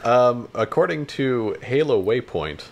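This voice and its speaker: A man's voice: medium pitch, expressive, a little slow, vocal fry. A man co-hosting a casual podcast about games and films, with a blunt, informal way of talking. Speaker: podcast co-host.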